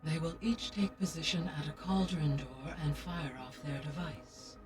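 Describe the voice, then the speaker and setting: An actor voicing a calm, formal artificial intelligence in a video game, speaking in a precise, measured way. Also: male voice, a little high, far from the mic, faint music behind, lightly acted.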